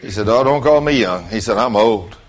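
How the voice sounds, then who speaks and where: A man's voice: lowish voice, lively intonation, fairly quick. A man, giving an informal storytelling sermon in a folksy, plain-spoken way, talking straight to his listeners. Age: late 60s. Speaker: preacher.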